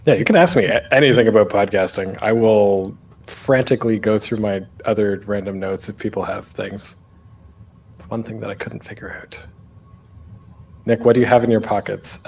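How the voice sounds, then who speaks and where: A man's voice: on the low side, darkish tone. A man presenting a casual online panel as a podcaster, speaking off the cuff in a rambling, self-deprecating, jokey way.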